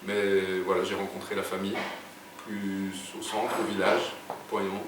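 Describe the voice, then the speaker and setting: Brief: middle-aged man, low voice, halting storyteller, recorded from afar in a roomy space. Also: little pitch movement. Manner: hesitant, many fillers and restarts. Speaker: guest writer. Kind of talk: casual interview storytelling. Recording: echoey room, distant mic, background noise.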